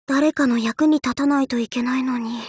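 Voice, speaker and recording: A woman voicing a cheerful, teasing young festival-loving swordswoman in an anime mobile game, with a bubbly, playful, drawn-out delivery. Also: high-pitched, steady tone, darkish tone, breathy edge, no echo, studio quality. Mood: cheerful, earnest.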